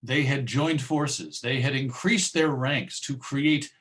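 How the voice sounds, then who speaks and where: A man's voice: mid-range voice, takes it slowly, a bit bright. A man giving an informative talk as a presenter on music history.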